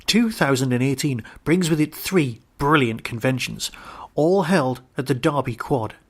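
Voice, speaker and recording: A man's voice: mid-range voice, expressive, a little slow, no echo. A man hosting a podcast, reading out a scripted audio drama review.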